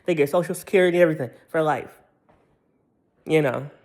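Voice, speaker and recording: A man's voice: on the high side, a little fast, a little mellow. An American man in his thirties giving a casual, opinionated monologue in a sassy, slangy and emphatic way, often repeating his phrases. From United States. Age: 30s. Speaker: commentator.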